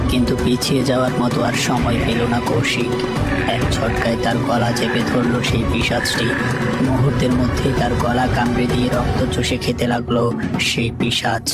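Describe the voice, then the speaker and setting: A man narrating a cartoon story in a measured, scripted way over loud music. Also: mid-range voice.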